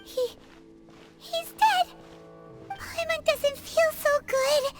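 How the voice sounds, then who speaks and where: A woman voicing a tiny, childlike, easily spooked female fairy companion in a video game, squeaky and expressive. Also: extremely slow, full character voice. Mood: frightened. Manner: refers to self in third person, hesitant and expressive. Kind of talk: animated video game acting. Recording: game music behind the voice. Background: orchestral game music.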